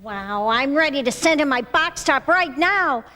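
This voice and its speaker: A woman's voice: high-pitched, expressive, unhurried, bright, forceful tone. An older American woman reading scripted, nostalgic narration as presenter of a radio ensemble. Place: small studio room, indoors. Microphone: stand microphone.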